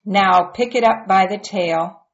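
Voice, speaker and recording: A woman reading a storybook aloud and voicing God as a calm, firm and reassuring presence. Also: medium pitch, unhurried, close to an everyday voice. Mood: commanding. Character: God, calm patient commanding voice. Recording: dull lo-fi recording.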